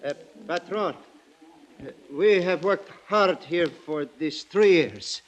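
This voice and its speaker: A man's voice: on the high side, slow, poor audio. An actor playing a loyal, earnest plantation foreman, hesitant and deferential, in an old-time radio drama. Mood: anxious, respectful. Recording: vintage radio recording.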